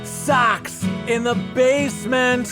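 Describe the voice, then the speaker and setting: A woman's voice: on the high side, steady tone, slow. A woman delivering a sung podcast jingle with guitar music behind her.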